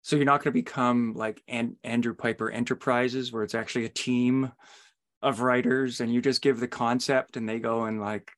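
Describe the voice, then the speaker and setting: Middle-aged man with a mid-pitched voice. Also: flat delivery, moderate pace. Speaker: podcast host, interviewer. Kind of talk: conversational interviewing.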